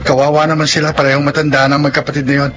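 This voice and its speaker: A man acts as a helpful young man in a Filipino drama. His voice is fairly high, polite and earnest, with music underneath. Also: completely flat delivery, very fast, lightly acted. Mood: concerned. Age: young adult. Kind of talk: Filipino radio-style drama acting.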